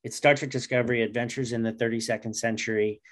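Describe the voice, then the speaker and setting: A man's voice: medium pitch, fairly even intonation, quick pace, fairly bright tone. A man around his forties chatting casually and enthusiastically as an interview guest on a video call. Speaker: comic writer and interview guest. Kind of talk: casual chat.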